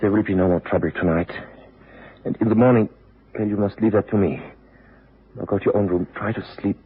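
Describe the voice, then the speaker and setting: Low-voiced, gentle Austrian fugitive. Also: male voice, steady tone, fairly quick, husky. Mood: quietly reassuring. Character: gentle, grateful, troubled Austrian man in hiding. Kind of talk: radio drama acting. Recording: muffled noisy old radio recording.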